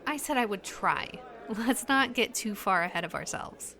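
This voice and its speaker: A woman's voice: medium pitch, expressive, moderate pace, sharp tone, some chatter behind. An actress playing an uneasy but determined young woman in an audio drama, speaking in a hesitant, earnest, questioning way. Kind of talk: naturalistic audio drama dialogue.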